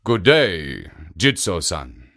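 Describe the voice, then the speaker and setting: Man voicing a loud, wicked storybook ogre, slow and suspicious. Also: deep voice, big pitch swings, vocal fry, voice pushed down, full character voice. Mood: falsely polite.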